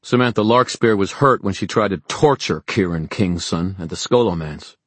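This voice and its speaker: A man's voice: sits low, normal speed, a bit bright, breathy edge, no echo. A man voicing a bold young warrior, righteous and emphatically accusing, in an audiobook. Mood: defiant accusation.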